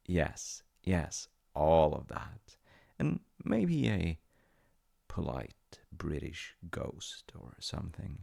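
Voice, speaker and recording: A man playing a playful, tender wizard fiancé in an intimate ASMR-style audio roleplay, speaking to the listener in a gentle, coaxing way. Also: deep voice, huge pitch swings, drawn-out words, gravelly, pauses often, exaggerated voice. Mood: playful, enthusiastic. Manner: gentle, coaxing, often dropping into whispers. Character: playful, tender male wizard fiancé showing off magical home.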